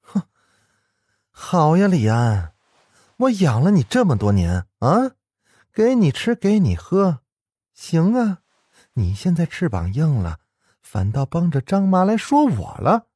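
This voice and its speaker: A man voicing a spiteful, domineering rich wife in an audio ghost story, scolding in a shrill, imperious way. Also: huge pitch swings, slow, long pauses, warm tone, slightly rough, no room sound, studio quality, full character voice. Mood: furious, accusing.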